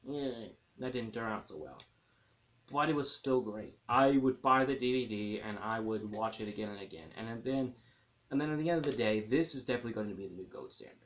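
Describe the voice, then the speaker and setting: A man's voice: fairly low pitch, a little fast, a bit bright, muffled recording. A man giving a casual, enthusiastic video movie review, rambling as he repeats and rephrases his points.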